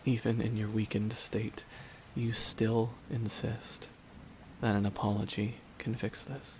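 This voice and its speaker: A man playing a bitter, weary supervillain in a dramatic ASMR audio roleplay, speaking deliberately with long pauses to a dying nemesis. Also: on the low side, low-quality recording. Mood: wounded, bitter. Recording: steady rain noise behind the voice. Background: rain falling on surfaces.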